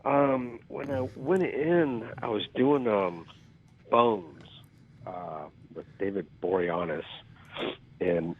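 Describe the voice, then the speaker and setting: Casting note: deep, husky-voiced man, self-deprecating storyteller, heard over a tinny phone line. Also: slow pace. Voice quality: raspy. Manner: rambling, self-deprecating, with filler ums. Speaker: actor guest. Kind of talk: casual interview storytelling. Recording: phone-like call audio.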